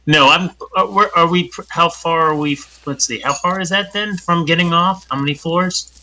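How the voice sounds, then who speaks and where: A man's voice: a little high, normal speed, sharp tone, low-quality recording. A man playing a tabletop game, asking questions and restarting his phrases often. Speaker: player. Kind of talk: casual tabletop play.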